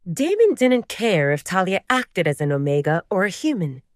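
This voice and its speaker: Female audiobook narrator for serial fiction: slightly low register, highly varied intonation, measured dramatic storytelling. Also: very animated, no echo, studio quality.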